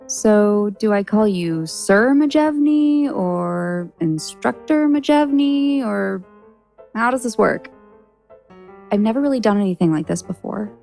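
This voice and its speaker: An actress playing a nervous, earnest young novice sword student in an audio drama, speaking hesitantly. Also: female voice, mid-range voice, expressive, unhurried, creaky, mellow, faint music behind. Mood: hesitant, curious.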